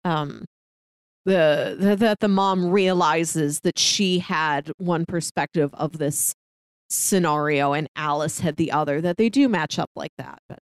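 A woman's voice: deep voice, unhurried, smooth, no room sound. Woman chatting reflectively, dry close sound. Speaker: podcast co-host reviewing films. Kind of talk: casual conversational film discussion.

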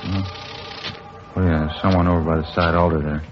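An actor playing an easygoing, chatty and wistful police sergeant in an old radio drama. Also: male voice, low-pitched, level tone, moderate pace, close to an everyday voice. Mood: casual. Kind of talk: 1950s radio acting. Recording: muffled old radio broadcast, music behind.

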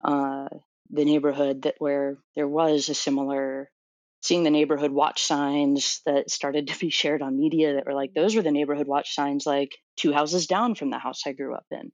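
A woman's voice: low-pitched, steady tone, normal speed, a little mellow, no echo, lo-fi sound. A woman in her thirties from Florida, a doctoral student answering interview questions thoughtfully, with many fillers and restarts. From Florida, USA.

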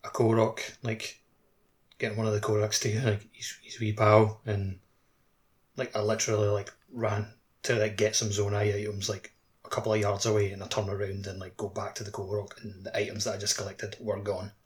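Lowish-voiced man chatting. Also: completely flat delivery, brisk. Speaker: podcast co-host. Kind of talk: casual gaming podcast chat.

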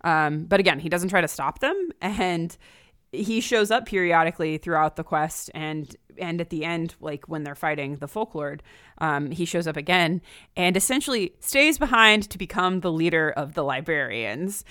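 A woman's voice: on the low side, lively intonation, normal speed, fairly bright tone, clean tone. A woman in a casual, informal discussion, speaking with enthusiasm as a podcast host. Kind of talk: casual chat.

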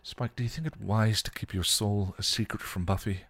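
Guy playing a stuffy old english gent, prim and wry, deep low voice. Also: steady tone, moderate pace, a bit bright, slightly rough, no echo.

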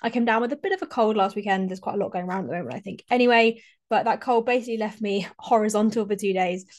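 A woman's voice: mid-range voice, quick pace. A woman, hosting a solo podcast in a chatty, enthusiastic way while a little congested from a cold. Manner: chatty, enthusiastic, repeats and restarts phrases. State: slightly congested, recovering from a cold. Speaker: podcast host and fitness coach.

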